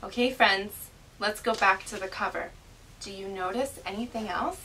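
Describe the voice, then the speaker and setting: A woman presenting an educational read-aloud for young children in an animated, exaggerated, child-directed way. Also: medium pitch, moderate pace. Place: small indoor classroom inside a mobile learning vehicle. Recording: distant mic with faint room noise.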